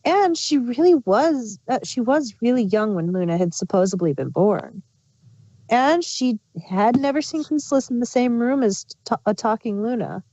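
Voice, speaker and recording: A woman's voice: on the high side, animated, normal speed, mellow. A woman narrating fan fiction as an amateur story reader. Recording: muffled, roomy home recording.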